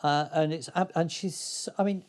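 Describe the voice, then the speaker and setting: A man's voice: a little high, fairly even intonation, normal speed. An older man speaking reflectively as an interview guest, hesitant and thoughtful but enthusiastic. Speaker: interview guest, playwright and actor.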